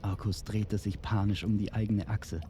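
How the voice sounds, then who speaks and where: A man narrating a fantasy tale in a suspenseful, measured storytelling manner. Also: on the low side, flat delivery, dark tone, a little hiss or hum. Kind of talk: dramatic scripted fantasy narration.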